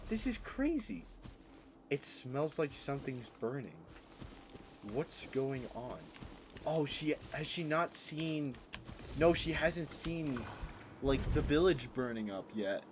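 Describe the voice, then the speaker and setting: A young man reacting to a story game as a streamer, exclaiming in an excitable way. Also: a little high, slow pace, noisy background. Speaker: gaming streamer. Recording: loud game music behind.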